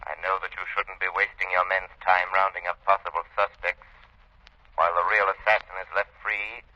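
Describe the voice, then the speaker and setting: An actor playing a mysterious unseen crime fighter in 1930s radio style, measured, formal and commanding, through a telephone-like filter on a dull old recording. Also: male voice, sits low, flat delivery, pushed and bright. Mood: ominous, commanding. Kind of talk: 1930s radio drama acting.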